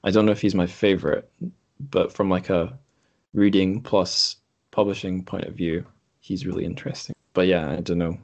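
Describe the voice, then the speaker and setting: A man's voice: deep voice, little pitch movement, moderate pace, a little mellow, muffled recording. A man hosting a podcast in casual, unscripted chat, hesitant and correcting himself as he thinks aloud. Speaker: podcast host. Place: room in a home.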